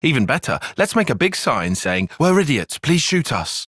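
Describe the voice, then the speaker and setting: A young male tactician from a video game, earnest yet guarded. His phrasing is precise and analytical, with a sarcastic bite and a very mobile pitch. The voice has a slightly breathy, husky grain and sits close and dry, without room sound. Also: very animated, fairly bright tone, no echo. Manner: precise, articulate, dryly sarcastic, measured. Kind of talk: video game cutscene voice acting.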